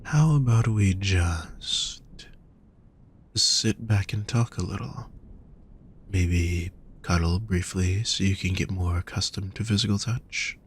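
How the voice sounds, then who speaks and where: A man playing a gentle, protective demon lover in an intimate roleplay audio, soft, husky and reassuring, speaking straight to the listener. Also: sits low, slight background noise. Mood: soothing, tender. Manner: soft, reassuring, unhurried coaxing. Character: gentle, teasing, protective male demon lover at a fantasy brothel.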